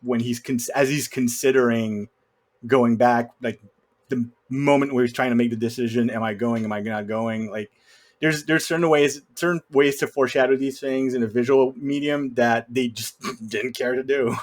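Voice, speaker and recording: A man's voice: mid-range voice, fairly even intonation, normal speed. A man in casual film discussion, speaking in a wry, opinionated way. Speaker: podcast guest co-host.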